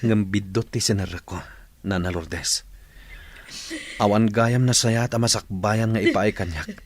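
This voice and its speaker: An actor playing a remorseful, determined young rebel in a radio drama. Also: male voice, fairly low pitch, a little slow, dry recording. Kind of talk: Ilocano radio drama acting.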